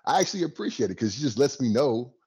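Laid-back guy cohosting a sports podcast, kinda raspy, dry jokes. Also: medium pitch, very animated, quick pace, a little mellow. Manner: laid-back, wry, joking. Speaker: podcast co-host. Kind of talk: casual sports podcast chat.

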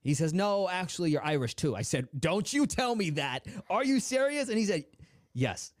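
A young adult man from the United States, a motivational speaker telling his life story in an interview, acting out the voices of his family with big swings in pitch. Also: moderate pace, no echo, studio quality. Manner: animated storyteller, acts out quoted dialogue with big pitch swings.

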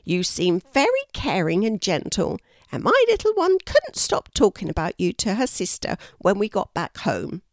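A woman voicing a brisk, kindly mother kookaburra with a friendly, bustling manner in a children's storybook. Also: mid-range voice, huge pitch swings, dry recording, studio quality.

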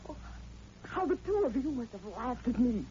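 Old radio drama sardonic scheming lady, arch n theatrical, pitch all over, muffled hissy recording. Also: very animated, moderate pace, mellow. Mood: bitter, hysterical. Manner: arch, mocking, theatrical delivery. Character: sardonic, cool, scheming woman columnist and blackmailer.

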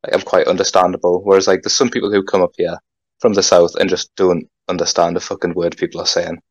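A man's voice: low-pitched, flat delivery, quick pace, no room sound, highs cut off. A young man from North East England with a Geordie accent, a guest in a casual podcast chat, rambling with lots of "like" and casual swearing. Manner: rambling, full of "like", swears freely.

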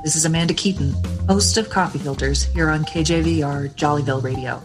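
A woman's voice: low voice, a little fast, over music, close to an everyday voice. A woman playing a cheery small-town morning radio host, reading scripted announcements.

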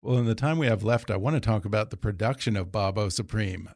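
A man's voice: fairly low pitch, fast talker, creaky, darkish tone, dry recording, studio quality. An American man hosting a conversational interview and putting questions to his guest. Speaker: interviewer, host.

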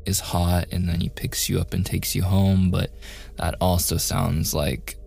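A man playing a shy, awkward young man in a boyfriend ASMR roleplay, softly stammering and often slipping into whispers. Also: low-pitched, flat delivery, a little slow, vocal fry, husky, soft background music, full character voice. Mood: joking, awkward. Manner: hesitant, stammering, self-deprecating joking.

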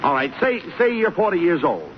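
A man's voice: high voice, big pitch swings, a little fast. An actor playing a bossy, exasperated army private scolding his pal in a 1940s radio comedy, fussy and insistent. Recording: muffled, noisy 1940s radio broadcast recording.

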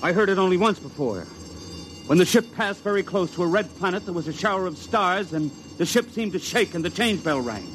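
A guarded, formal starship attendant. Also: male voice, high voice, expressive, brisk, fairly bright tone. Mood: guarded, uneasy. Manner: careful, formal speech. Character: guarded, deferential male attendant aboard a spaceship. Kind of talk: old-time radio drama acting. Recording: faintly noisy vintage radio recording.

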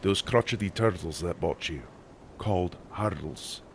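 A man voicing a stern, sardonic older sailor with a deep accented voice and a curt, scolding manner in a fantasy audiobook. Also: measured pace, gravelly, a little hiss or hum. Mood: gruff, dry. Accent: deep put-on accent. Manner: curt, chiding, dry.

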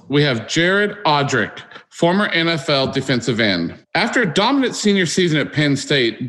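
A man's voice: mid-range voice, pushed and bright. A man hosting an interview podcast, reading introductions and putting questions to his guest. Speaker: podcast host.

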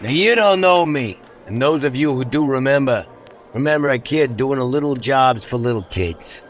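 A man's voice: medium pitch, animated, moderate pace, a little hiss or hum, close to an everyday voice. A man as a showy gangster boss, crowd behind. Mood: gleefully cruel. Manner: showman-like, mocking. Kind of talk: radio drama stage emcee.

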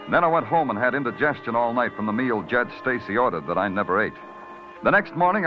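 An actor playing a hard-boiled police detective who narrates in a dry, wisecracking way in an old radio drama. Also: male voice, mid-range voice, natural voice. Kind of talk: 1940s radio acting. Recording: dull old radio recording with music.

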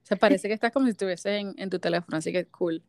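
A woman's voice: mid-range voice, quick pace, darkish tone, dry recording, studio quality. A woman hosting a casual interview, mixing Spanish and English, bubbly with frequent laughs and fillers. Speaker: host. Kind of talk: casual interview chat, Spanglish.

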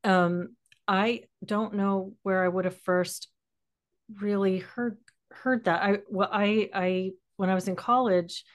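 A woman's voice: mid-range voice, steady tone, takes it slowly. A middle-aged American woman in a reflective podcast conversation, searching for words and letting thoughts trail off. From Texas, United States. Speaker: podcast host.

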